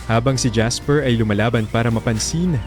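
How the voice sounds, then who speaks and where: Casting: man as radio drama narrator, Filipino accent, mid-range voice, dramatic storytelling read over a soft music bed. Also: medium pitch, fairly quick.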